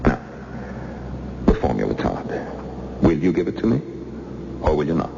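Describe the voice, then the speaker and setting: An actor playing a menacing, coldly polite interrogator with a silky, taunting manner in an old radio thriller. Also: male voice, rumbling low, very animated, moderate pace, creaky, exaggerated voice. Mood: calm menace. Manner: silky, mock-courteous, taunting. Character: menacing male interrogator, coldly polite villain threatening torture. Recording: muffled, hissy old radio recording.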